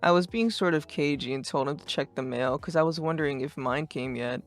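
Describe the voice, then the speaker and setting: A woman voicing a laid-back, sarcastic teenage boy in a fan dub, talking in deadpan slang. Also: low voice, fairly quick, faint music behind, lightly acted. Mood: deadpan, mildly sarcastic.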